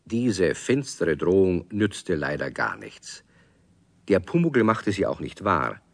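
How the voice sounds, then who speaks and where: A man's voice: sits low, sing-song, slow, no room sound, full character voice. A man narrating a children's radio play, speaking expressively and directly to young listeners as a friendly storyteller. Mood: storytelling.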